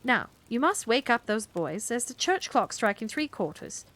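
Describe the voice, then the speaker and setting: A woman's voice: a little high, big pitch swings, normal speed, fairly bright tone, voice pushed up. A woman voicing a prim, easily flustered middle-aged witch who snaps when pressed.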